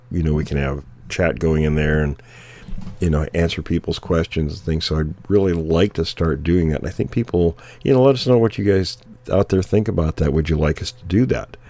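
Very deep, hoarse-edged man chatting. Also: warm tone, no echo. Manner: clears throat often, meandering. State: losing his voice. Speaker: podcast co-host. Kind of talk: casual chat.

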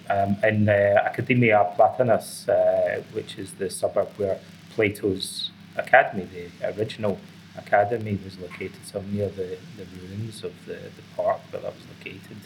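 A man's voice: fairly low pitch, steady tone, moderate pace, a bit bright. A middle-aged Scottish man giving explanatory, academically phrased answers as an interview guest. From Scotland. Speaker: interview guest, author and psychotherapist. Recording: clearly noisy background ambience.

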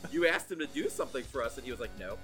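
A man's voice: medium pitch, expressive, very fast, bright, forceful tone, faint music behind. A man in his forties co-hosting a podcast, debating films casually in a blunt, teasing way with some swearing. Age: 40s. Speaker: podcast co-host.

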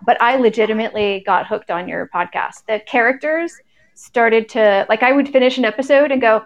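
A woman's voice: a little high, a little fast, highs cut off. A woman podcaster speaking casually as a guest in a live podcast chat.

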